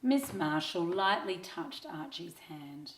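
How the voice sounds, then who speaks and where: Lady reading a kids story, lowish voice, super slow, expressive, echoey. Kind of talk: scripted children's story narration. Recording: roomy, echoing sound.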